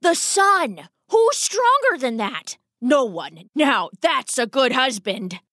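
A woman's voice: high-pitched, very animated, slow, a bit bright, no room sound, clean studio sound, heavily acted. A woman voicing a stubborn, doting old father rat with theatrical, emphatic bluster in a children's story. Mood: excited, grand.

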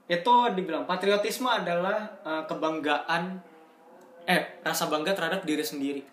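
A man's voice: a little high, normal speed, a bit bright, reverberant, slight background noise. A young man co-hosting a casual chat, explaining ideas through everyday examples in slangy talk and laughing often.

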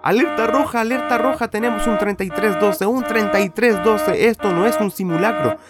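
A man's voice: high-pitched, moderate pace, voice pushed up, natural voice. A man voicing an urgent, official emergency announcer in a radio play. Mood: alarmed. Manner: clipped, repetitive, official. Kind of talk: radio play character acting. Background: music.

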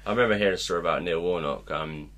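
A man with a very low, deep voice talks casually about football, meandering through stories and leaning on "like" as he goes. His delivery is loose and unhurried, the tone of a relaxed chat between friends. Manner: rambling, full of "like" fillers. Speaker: podcast co-host.

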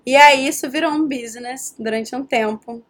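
A woman from Rio de Janeiro with a Carioca accent, a guest chatting casually in an interview, animated and quick to laugh. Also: high-pitched. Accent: Carioca Portuguese. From Rio de Janeiro, Brazil. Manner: animated storyteller, laughs often.

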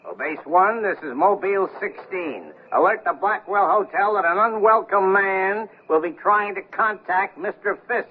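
An actor playing an excitable, officious bomb squad patrolman in a radio comedy. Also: high voice, normal speed, hardly any pauses, lightly acted. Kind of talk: 1970s radio comedy acting. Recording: tinny 1970s radio with faint noise.

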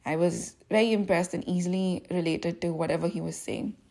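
A woman's voice: on the low side, fairly even intonation, brisk, vocal fry, mellow. A woman hosting her own podcast, talking alone in a casual, reflective way that rambles with many fillers. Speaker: podcast host. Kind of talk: casual solo podcast talk.

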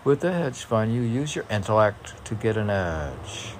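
A man reading poems aloud informally as a poet, with halting, musing asides between the lines. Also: lowish voice, big pitch swings, slight background noise.

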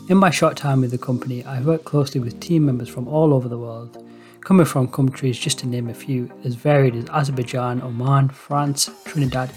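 A man's voice: medium pitch, a little mellow. A young man hosting a podcast, reading a scripted introduction in an upbeat, formal way. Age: young adult. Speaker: podcast host. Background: faint background music.

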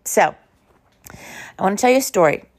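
A woman hosting a podcast in an informal, conversational and emphatic way, telling personal stories in a slightly hoarse voice. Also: medium pitch, animated, normal speed. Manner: conversational, emphatic, uses rhetorical questions. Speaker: podcast host.

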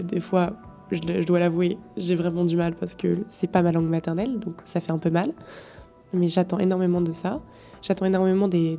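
A woman's voice: fairly low pitch, warm tone. A young French woman studying abroad, talking casually and enthusiastically about her experiences, with hesitations and fillers. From France. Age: young adult. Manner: enthusiastic, effusive, with many fillers. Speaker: exchange student. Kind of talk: casual testimonial.

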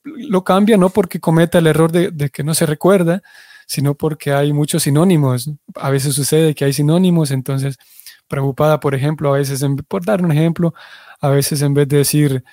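A man teaching a devotional class, his voice pitched a little high but warm and mellow. He explains at length, backing up and correcting himself mid-sentence. The sound is dry and close. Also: fairly even intonation, moderate pace, no echo. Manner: explanatory, restarts and self-corrections. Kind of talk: religious class.

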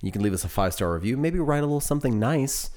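A man's voice: mid-range voice, lively intonation, rapid-fire, darkish tone. An American man speaking casually as a podcast co-host, in a chatty, bantering style.

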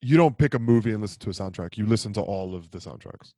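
A man's voice: fairly low pitch, lively intonation, fast, mellow, dry recording, clean studio sound. A man co-hosting a podcast, joking around crudely in casual chat over a video call. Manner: joking, crude, mock-macho bravado. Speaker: podcast co-host.

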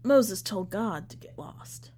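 A woman's voice: mid-range voice, expressive, slow pace, a little mellow, slight background noise. A woman voicing a pious, folksy bearded male preacher in a prison story, evasive and sanctimonious. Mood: probing, preacherly.

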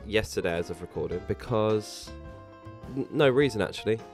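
A man's voice: fairly low pitch, lively intonation, moderate pace, darkish tone, faint music behind. An English man with a sore throat and a stuffy voice, co-hosting a casual podcast and rambling through stories with lots of restarts. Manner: rambling, self-interrupting, jokey. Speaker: podcast co-host. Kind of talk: casual chat.

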